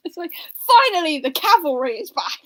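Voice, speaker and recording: A woman with a high-pitched voice joins a casual live readalong discussion. The sound is dry, with very little room around her conversational delivery. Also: lively intonation, brisk, no room sound. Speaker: book readalong panellist.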